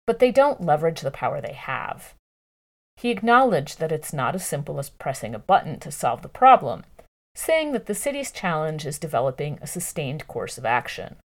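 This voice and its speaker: A woman narrating a long-form news article from a script in a measured, clearly phrased way. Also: low-pitched, big pitch swings.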